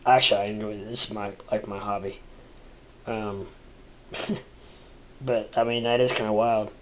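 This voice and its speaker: A man rambling through a casual livestream, stuffy and congested, halting with lots of fillers and restarts. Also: on the low side, flat delivery, a little hiss or hum. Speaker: crypto livestreamer. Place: home room, seated at a desk.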